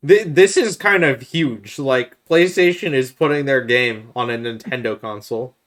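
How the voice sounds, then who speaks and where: Male podcast host, medium pitch, informal and explanatory, for casual video game chat. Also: moderate pace, fairly bright tone. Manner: explanatory, makes points step by step.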